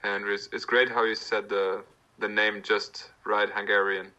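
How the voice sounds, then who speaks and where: A man chatting as a guest from his apartment over a video call. He talks in a friendly, joking, slightly halting way. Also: fairly low pitch, little pitch movement, pushed and bright, lo-fi sound. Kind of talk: casual chat.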